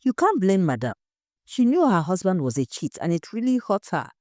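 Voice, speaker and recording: A woman's voice: mid-range voice, big pitch swings, normal speed, mellow, dry recording, studio quality, lightly acted. A woman playing a tubby, confident male household cook who gossips frankly in an audio story. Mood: muttering. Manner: assured, gossiping.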